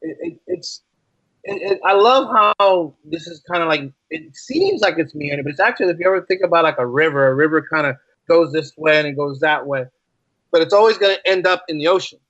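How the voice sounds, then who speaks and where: A man chatting casually as a podcast guest, restating his phrases for emphasis in an animated way. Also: fairly high pitch, fairly quick, dry recording, muffled recording. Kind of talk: casual interview chat.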